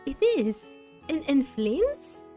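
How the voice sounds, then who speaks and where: A woman streaming a game playthrough, reading dialogue aloud and musing on it thoughtfully, with pauses mid-phrase. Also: high voice, sing-song, mellow. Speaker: gaming streamer. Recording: dry, faint game music behind.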